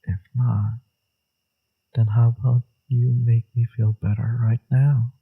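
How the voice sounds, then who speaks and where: A man playing a flirtatious, teasing villain with a secretly tender side in an intimate romantic audio roleplay, his voice husky and murmured. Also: level tone, phone-quality sound, noisy background. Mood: seductive, pleading. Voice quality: low, husky, gravelly, breathy. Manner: slow, teasing, murmured delivery.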